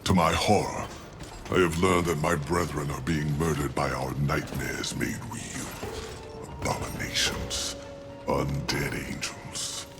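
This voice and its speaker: A man's voice: very deep voice, fairly even intonation, measured pace. A man voicing a weary, grandiose angel commander in a video game, speaking in a solemn, formal, theatrical way. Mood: grave. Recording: music behind.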